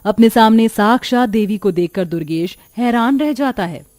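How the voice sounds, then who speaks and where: A female narrator tells a scripted tale. Her voice sits fairly high, and the recording is dry with almost no room sound. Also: expressive, moderate pace, a little mellow, no room sound.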